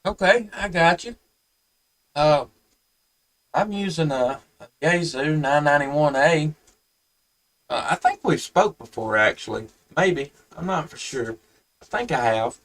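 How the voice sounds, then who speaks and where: A man chatting casually and haltingly as an amateur radio operator. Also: a little high, lively intonation, fairly bright tone, recorded from a distance. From United States. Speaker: amateur radio operator hosting a live stream.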